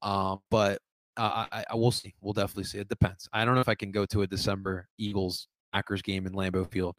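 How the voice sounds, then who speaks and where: Chatty sports host with Spanish accent. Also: male voice, fairly low pitch, flat delivery, fast talker, no room sound. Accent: Latin American Spanish. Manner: rambling, repeats words, laughs often, chats to viewers. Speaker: sports podcast host reading live chat. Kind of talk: casual live-stream sports talk.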